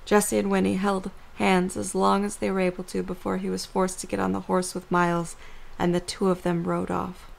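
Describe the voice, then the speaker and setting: A woman's voice: mid-range voice, completely flat delivery, moderate pace, creaky. A woman reading scripted story narration for an audio drama as the narrator.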